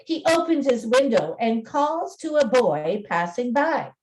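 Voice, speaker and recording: Female presenter-narrator: slightly high register, very slow, deliberate storytelling delivery. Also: big pitch swings, a little mellow, rough recording.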